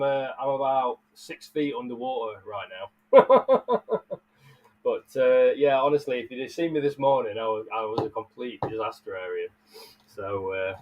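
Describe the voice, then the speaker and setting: A man's voice: medium pitch, highs cut off. A man in his thirties with a blocked-up, nasal voice from illness, rambling casually to livestream viewers and laughing often. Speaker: live streamer and bug keeper. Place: bug room, indoors.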